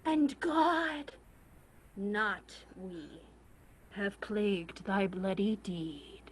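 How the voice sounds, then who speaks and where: A woman's voice: medium pitch, drawn-out words, husky. A woman playing a contemptuous royal villain, declaiming accusations in classical stage verse. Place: remote video-call staged reading.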